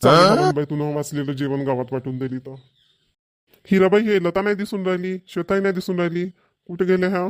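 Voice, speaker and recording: Village family man played by a male actor, Varhadi rural accent, slightly high voice, chatty, contented and emphatic, for a cartoon family comedy. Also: fairly even intonation, fast talker, lightly acted. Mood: approving, chatty. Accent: rural Maharashtrian, Varhadi.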